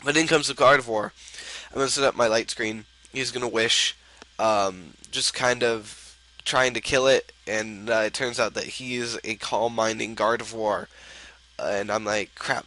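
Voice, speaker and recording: A young man with a head cold talks through his own online match in a loose, rambling way, leaning on ums and uhs as he goes. His voice sits a little low but comes out bright and forceful despite the stuffy nose. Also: low-quality recording. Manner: rambling, filler-heavy, laid-back. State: sick and stuffy-nosed. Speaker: gaming video host. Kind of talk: casual game commentary.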